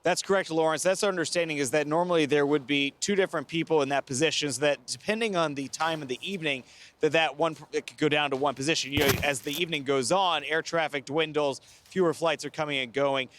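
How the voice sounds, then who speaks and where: A middle-aged American man reporting live as a TV news correspondent, speaking in a measured, careful, explanatory way. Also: on the high side, a bit bright, a little hiss or hum. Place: outdoors by a river at night.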